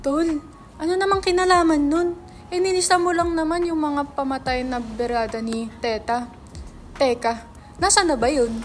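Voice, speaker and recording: Woman playing brash, high-pitched Filipino business hustler. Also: steady tone, moderate pace, a little hiss or hum, natural voice. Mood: incredulous. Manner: sarcastic, colloquial, mixing English into Tagalog. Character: brash, money-minded male website entrepreneur, teasing his friend. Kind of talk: dramatic reading of a stage script.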